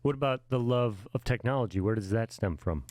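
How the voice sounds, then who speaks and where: A man's voice: fairly low pitch, fairly quick, a little mellow, no echo. A man interviewing students at a portfolio event, asking friendly questions and offering encouragement, sometimes restarting his sentences.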